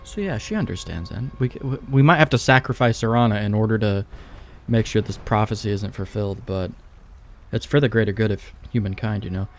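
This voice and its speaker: A man's voice: fairly low pitch, a little fast, slight background noise. A young man giving rambling, laid-back, sweary let's play commentary as he games. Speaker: gaming YouTuber, let's play host.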